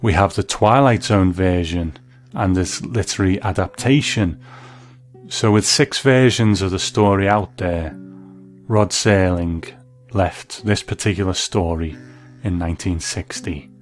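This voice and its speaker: Low-pitched man hosting a commentary. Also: unhurried, faint music behind. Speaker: host. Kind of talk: scripted narration, commentary on classic TV and radio.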